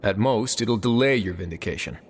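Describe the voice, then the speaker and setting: A man voicing a composed, authoritative prison administrator in video game dialogue, measured and formal. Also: lowish voice, a little hiss or hum, close to an everyday voice. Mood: stern, calm.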